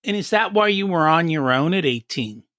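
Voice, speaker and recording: A man hosts a podcast and asks his questions in a chatty, friendly manner with light laughter. His voice sits fairly high for a man. It sounds dry and close, with no room echo. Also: animated, normal speed, fairly bright tone. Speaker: podcast host. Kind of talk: casual podcast interview.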